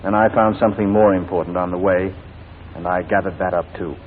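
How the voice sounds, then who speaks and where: A man's voice: low voice, steady tone, fairly quick, lightly acted. A man playing a shrewd, proud English barrister in 1940s radio drama, articulate and formal. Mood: tender. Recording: tinny, noisy 1940s radio recording.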